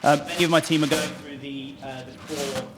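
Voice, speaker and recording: A man's voice: mid-range voice, level tone, normal speed, loud music behind the voice. Man giving a conference talk, um-filled. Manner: conversational, many ums and restarts. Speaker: conference speaker. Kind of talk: conference presentation. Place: conference stage before an audience. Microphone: lapel mic pack.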